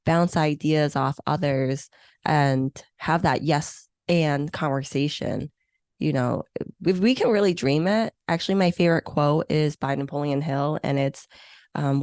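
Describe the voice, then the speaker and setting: A woman's voice: deep voice, a little mellow, dry recording, clean studio sound. A young adult woman from Hong Kong, English her third language, chatting enthusiastically as a podcast guest with many restarts. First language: not English; English is her third language. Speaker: guest, meeting planner. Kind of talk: podcast interview.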